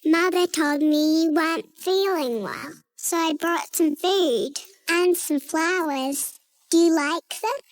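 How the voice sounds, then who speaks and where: A woman's voice: extremely high pitch, slow, no echo, pitched above the performer's own voice. A woman voicing a sweet, naive, polite little girl in a storybook tale, with a small childlike, innocent voice.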